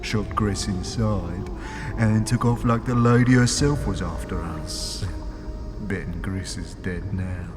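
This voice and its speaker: A man voicing a chatty, crude male ghost of a grave robber who speaks in a rough street dialect and cackles between his sentences. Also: deep voice, takes it slowly. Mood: rambling. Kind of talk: fan audio drama acting. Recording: long hall reverb under loud music.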